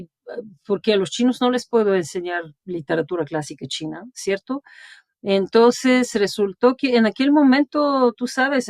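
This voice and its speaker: A woman's voice: mid-range voice, no echo, studio quality. Woman telling stories in interview. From Bulgaria. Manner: tags nearly every phrase with a questioning "no", laughs while telling stories. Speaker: interview guest, sinologist and translator. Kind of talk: conversational interview storytelling.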